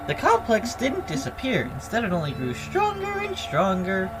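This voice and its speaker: A woman's voice: mid-range voice, huge pitch swings, over music, rough recording. A woman voicing a gleeful, taunting robotic bear villain telling a story theatrically for a video game. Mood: sly storytelling. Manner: theatrical, mocking, drawn-out announcements. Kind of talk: dubbing video game dialogue aloud.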